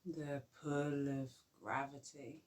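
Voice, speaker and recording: A woman guiding a yoga nidra relaxation, speaking softly and soothingly with long pauses. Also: deep voice, little pitch movement. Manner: slow, soft, soothing guidance with long pauses. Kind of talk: guided relaxation meditation.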